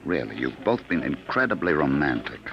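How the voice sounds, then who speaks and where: An actor playing a coolly menacing, smug and patient agent from the future in 1950s radio drama style. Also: male voice, a bass voice, sing-song, vocal fry, crowd noise, heavily acted. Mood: smug menace. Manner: smug, deliberate, condescending. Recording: muffled old radio drama recording.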